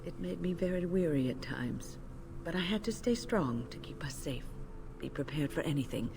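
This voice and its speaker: An actress playing a grave, protective older female mage, speaking in a formal, measured way in a video game. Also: deep voice, animated, creaky, background noise. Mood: resolute.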